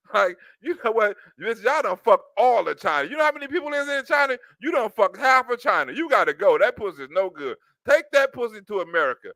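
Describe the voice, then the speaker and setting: A man riffs through comic commentary, laughing often and slipping into quick acted-out scenes. His voice sits high and swings widely, with a bright, sharp edge. The sound is close and dry. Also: no room sound. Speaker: streamer reacting to a news clip. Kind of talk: animated comedic commentary.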